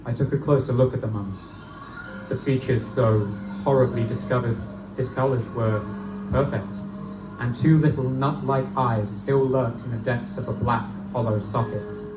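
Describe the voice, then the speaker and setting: A man's voice: medium pitch, steady tone, moderate pace, lightly acted. A man playing a young Victorian medical student who narrates a gothic horror tale in formal, old-fashioned language before a live audience. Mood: grave, fascinated. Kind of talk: live dramatic reading of gothic pulp horror. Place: live performance in a bookstore. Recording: echoey distant live room recording, loud guitar.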